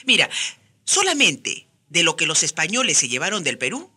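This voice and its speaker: A woman's voice: lowish voice, big pitch swings, moderate pace, sharp tone, husky. A woman with a Peruvian accent co-hosting a radio programme in conversational scripted dialogue. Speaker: radio co-host.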